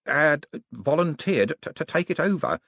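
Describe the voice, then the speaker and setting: A man's voice: a little high, very animated, moderate pace, vocal fry, a bit bright, no echo, studio quality, voice pushed up, heavily acted. A man voicing a friendly, flustered young wizard scholar in an audiobook, modest but bookish, hesitating and stammering with many ums.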